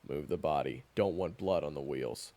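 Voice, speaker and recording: A man acting a gruff, impatient monster hunter with a gravelly, blunt delivery in an audio roleplay. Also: a bass voice, moderate pace, mellow. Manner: blunt, exasperated. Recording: music bed under the voice. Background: background music.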